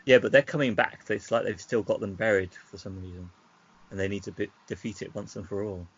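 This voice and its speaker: A man's voice: lowish voice, fairly even intonation, fairly quick, husky, highs cut off. A man co-hosting a podcast, explaining his thoughts reflectively in casual discussion. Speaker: podcast co-host.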